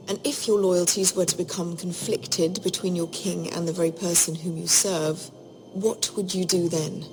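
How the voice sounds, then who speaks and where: An actress playing a haughty, menacing fantasy queen who speaks in a measured, condescending, coldly formal way. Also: fairly low pitch, vocal fry, a little mellow. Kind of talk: dramatic fantasy game acting.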